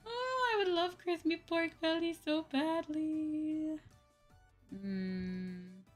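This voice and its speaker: A woman hosting a casual livestream, chatting with viewers in a drawn-out, exclamatory way and often repeating her phrases. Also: sits high, animated, faint music behind. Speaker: livestream host.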